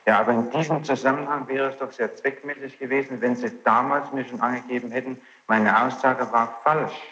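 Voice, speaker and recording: Insistent bright-voiced man in long courtroom reverb. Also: mid-range voice, steady tone, brisk. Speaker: legal official questioning a witness. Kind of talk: formal courtroom cross-examination. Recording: reverberant, muffled, noisy archival courtroom tape.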